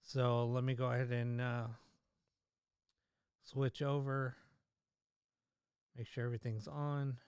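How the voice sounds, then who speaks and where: A man hosting a paranormal livestream, chatting casually with many fillers and restarts, his voice slightly hoarse. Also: mid-range voice, little pitch movement, slow pace, pauses often, darkish tone, no echo, clean studio sound. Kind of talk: casual live-stream chat.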